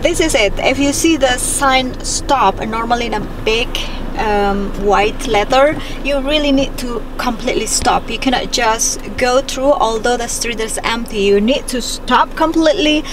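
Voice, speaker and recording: A woman in her forties with an Indonesian accent talks through a casual, chatty vlog with her slightly high voice. She sits well off the mic inside a car. Loud road and engine noise runs underneath. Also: measured pace, recorded from a distance. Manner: chatty, explaining, non-native phrasing. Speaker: vlogger. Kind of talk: casual vlog narration while driving. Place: inside a car and outdoors by a roadside. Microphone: camera mic from a dashboard or handheld camera.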